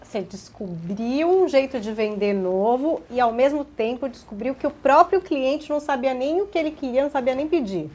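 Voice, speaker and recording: A woman hosts an interview and asks her guest questions in a chatty, playful manner. Her voice sits a little on the high side. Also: lively intonation, normal speed, hardly any pauses, a little mellow. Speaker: podcast host.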